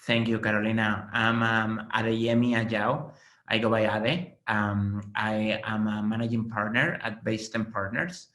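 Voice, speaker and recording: Spanish-accented man, low voice, roomy echo. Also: fairly even intonation, fairly bright tone. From Spain. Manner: measured, explanatory, with frequent "uh" and "um". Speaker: venture investor, panel guest. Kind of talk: panel conversation.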